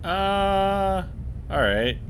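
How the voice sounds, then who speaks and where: A man's voice: sits high, lively intonation, very slow, noisy background. A man giving casual, reactive commentary while playing a game, with wry, mock-aggrieved asides. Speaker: game streamer, let's play commentator.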